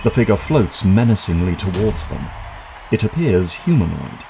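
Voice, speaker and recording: A man reading scripted dramatic narration for an audio drama in a measured, suspenseful manner. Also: low voice, sing-song, creaky, background noise.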